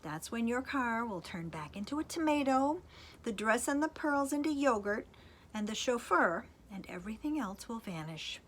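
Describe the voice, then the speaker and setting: A woman voicing a brisk, commanding fairy godmother in a children's storybook reading. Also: on the high side, big pitch swings, pitched above the performer's own voice. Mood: bossy. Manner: commanding, emphatic. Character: bossy, brisk fairy godmother with magic wand.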